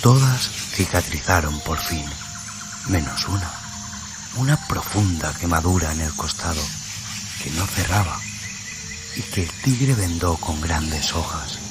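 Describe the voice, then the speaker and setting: A man narrating a story in a dramatic radio-play style, solemn and suspenseful, with phrase endings that drop to a hush. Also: low-pitched, lively intonation, a little slow, very noisy. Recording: music bed under the voice. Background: music.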